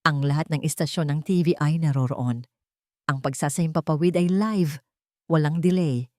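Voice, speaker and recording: A woman reading a scripted romance story as narrator, with deliberate, dramatic phrasing. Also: deep voice, a little mellow, no echo, clean studio sound.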